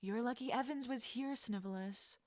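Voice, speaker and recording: A woman's voice: on the high side, normal speed, no room sound, studio quality, lightly acted. A woman voicing a brash, lovestruck teenage wizard boy in an audiobook-style story, flustered and frantic. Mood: smug. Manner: shouting, stammering, frantic.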